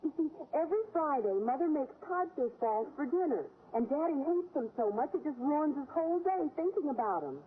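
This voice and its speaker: A high, girlish voice on a tinny vintage radio recording, an eager teenage schoolgirl. She chatters earnestly and confidingly in old sitcom style. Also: animated, brisk, hardly any pauses, slight background noise. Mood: chatty, confiding. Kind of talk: 1940s-50s radio sitcom acting.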